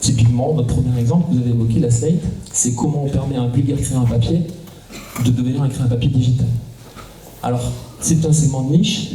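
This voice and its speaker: A man's voice: medium pitch, dark tone. A man in his forties from southern France, a startup cofounder explaining his company on a conference panel, with many hesitant fillers. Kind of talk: round-table conversation. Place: conference hall. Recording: echoey hall, distant mic, faint noise.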